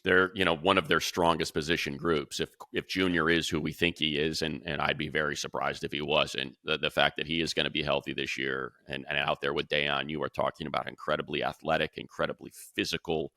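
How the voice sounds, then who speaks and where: A man's voice: extremely low pitch, flat delivery, a little fast, gravelly, hardly any pauses, bright, forceful tone, no room sound, studio quality. A man hosting a casual sports podcast, explaining his analysis emphatically and repeating words to stress his points.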